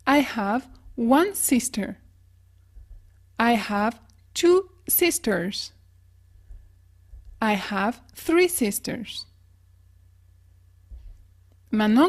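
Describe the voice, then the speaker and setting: High-voiced woman teaching English slowly. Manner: slow, careful model pronunciation of example phrases. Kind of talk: language lesson with example phrases.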